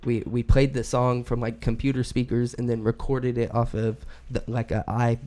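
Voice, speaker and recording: A man's voice: medium pitch, level tone, moderate pace, dark tone. A young man casually telling his story as a guest, in a slangy, laid-back way with frequent laughs. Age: young adult. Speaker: guest artist. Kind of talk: casual podcast interview.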